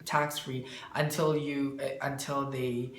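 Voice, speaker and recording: A man answering interview questions as a bookkeeper, explaining in a halting way and searching for words. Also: medium pitch, level tone, a bit bright. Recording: echoey room, faint background noise.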